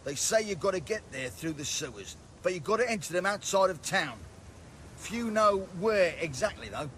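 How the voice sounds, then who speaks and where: A shifty street looter from a fantasy game, with a slightly high voice that moves up and down a lot. He sounds uneasy, with faint ambience behind him. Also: expressive, normal speed, fairly bright tone, slight background noise. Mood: wary, informative. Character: shifty male street looter, nervous informant. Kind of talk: video game voice acting.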